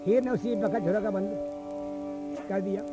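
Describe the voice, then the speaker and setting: A man. He performs as a traditional bard, alternating sung verse with narration, while an instrument plays clearly along on a field cassette recording. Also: sits high, moderate pace, over loud music, rough recording. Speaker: traditional folk storyteller and singer. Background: musical instrument accompaniment.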